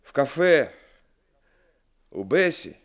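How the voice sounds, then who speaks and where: An actor playing a kind, working-class truck driver in an old radio play, friendly and deferential, with some hesitation. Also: male voice, mid-range voice, big pitch swings, slow. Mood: pleading.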